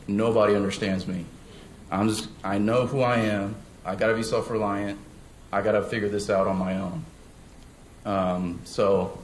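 A man's voice: lowish voice, a little hiss or hum. A young man born in South Korea, telling his personal testimony at church in a hesitant way with many fillers and restarts. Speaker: church member giving testimony. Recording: roomy sound with faint ambience.

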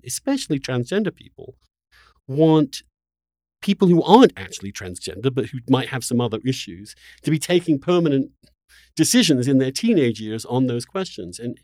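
A male interview host in the thick of a debate. His pitch moves around a great deal as he talks. He is insistent but halting, repeating words as he builds his point, and the sound is very dry. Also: a little mellow, no echo.

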